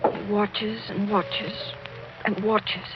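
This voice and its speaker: A woman's voice: mid-range voice, little pitch movement, drawn-out words. An actress playing an anxious, troubled young woman in a vintage radio drama. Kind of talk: 1940s radio acting. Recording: muffled old radio recording with music.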